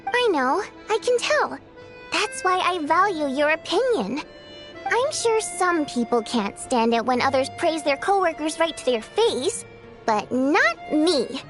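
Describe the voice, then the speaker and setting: A woman playing a proud, easily offended theater singer in scripted video game dialogue, with an expressive, theatrical, pointed delivery. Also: high voice, a little slow, music in the background. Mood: pointed composure.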